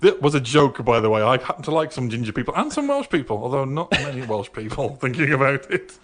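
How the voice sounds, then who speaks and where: A man from northern England with a Northern English accent chats casually as a podcast co-host. He talks fast and animatedly at a middling pitch, joking with wry sarcasm. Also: very animated, a bit bright. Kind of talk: casual comic anecdote chat.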